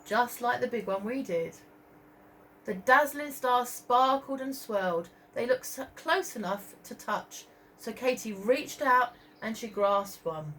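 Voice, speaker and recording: A woman reading a story aloud to young children as a teacher, expressively, pausing to point out pictures and ask questions. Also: on the high side, expressive, a bit bright, slight background noise, low-quality recording. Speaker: primary school teacher.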